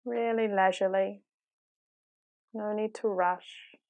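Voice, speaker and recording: A woman leading a guided meditation in a calm, unhurried, gently instructive way, with long pauses. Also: mid-range voice.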